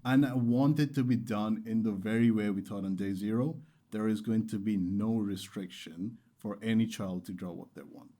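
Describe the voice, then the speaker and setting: Low, mellow-voiced young man, earnest. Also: steady tone, moderate pace, hardly any pauses. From Qatar. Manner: earnest, enthusiastic, emphatic repetition. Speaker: interview guest, startup founder. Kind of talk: conversational storytelling interview.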